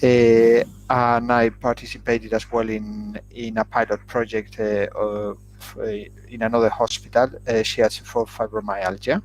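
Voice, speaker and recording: A man's voice: lowish voice, little pitch movement, unhurried, low-quality recording. A man answering interview questions as a shiatsu therapist and teacher, hesitant, with many fillers.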